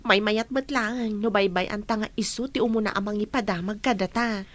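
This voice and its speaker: A woman's voice: medium pitch, steady tone, brisk, natural voice. An actress playing a young woman who is a probing, secretly jealous best friend in a radio drama. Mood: guarded.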